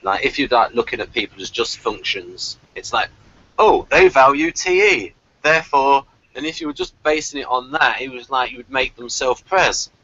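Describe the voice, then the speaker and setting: A man's voice: mid-range voice, sing-song, moderate pace, sharp tone. A man in a casual chat who explains ideas eagerly. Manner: explanatory, enthusiastic. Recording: dull lo-fi audio.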